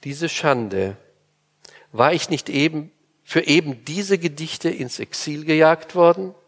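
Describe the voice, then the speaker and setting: A man's voice: a little high, slow pace, in a large hall. A man reading the lines of a bitter, brooding exiled male poet in an audiobook.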